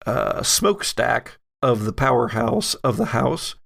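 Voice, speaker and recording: A man's voice: mid-range voice, big pitch swings, measured pace, vocal fry, fairly bright tone. A man working as game master on a podcast, narrating and refereeing the game with theatrical storytelling phrasing.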